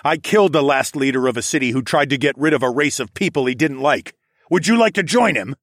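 A man's voice: medium pitch, expressive, a little fast, a bit bright, dry recording, studio quality, voice pushed up, natural voice. A man playing a defiant, sardonic male hero who argues and snarls in a fantasy audiobook. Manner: snarling, mocking, argumentative.

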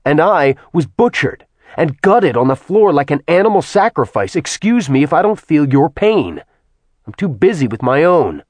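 A man's voice: lowish voice, normal speed, few pauses, no echo, natural voice. A man playing a bitter, vengeful immortal man who snarls venomously with a raised, seething voice.

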